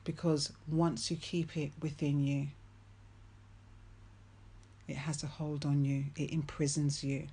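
A woman's voice: low voice, little pitch movement, slow, pauses often, mellow, slightly rough. A woman giving a faith-based motivational talk, speaking earnestly and emphatically and repeating key phrases for weight.

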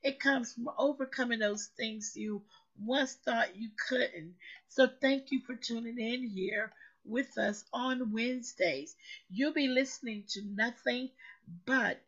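A hoarse woman hosting a motivational show, speaking in a preachy, emphatic way and repeating phrases for effect. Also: a little high, fairly bright tone, lo-fi sound. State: hoarse, recovering lost voice. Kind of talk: slow motivational talk.

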